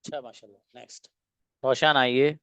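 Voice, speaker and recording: A man's voice: medium pitch, steady tone, moderate pace, a bit bright, clear, resonant tone, dry recording. A man teaching an online class, calling on students one by one and giving short, encouraging feedback. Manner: brief, encouraging, addresses students as beta and babu.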